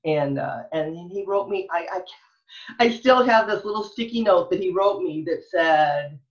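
Muffled lo-fi recording, American woman, middle-aged, earnest, emphatic, halting conversational delivery, enthusiastic. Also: fairly low pitch, expressive. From Texas, United States. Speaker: elementary school librarian, interview guest.

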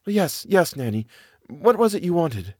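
A man's voice: fairly high pitch, sing-song, unhurried, slightly rough, no room sound, studio quality, voice pushed up, full character voice. Trembling young lady, read by a man. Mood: anxious. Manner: tense, hushed, trembling. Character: nervous, frightened young lady of the house.

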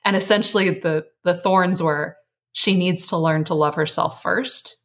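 A woman author and life coach, earnest and reflective as a guest, her voice slightly hoarse. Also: lowish voice, unhurried, a bit bright. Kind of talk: casual interview chat.

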